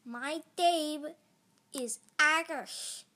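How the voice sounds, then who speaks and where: A woman's voice: high voice, extremely slow, pushed and bright, voice pushed up, heavily acted. A woman voicing a slimy female mucus monster with a congested, stuffed-up nose, in a children's storybook. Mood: sniffly.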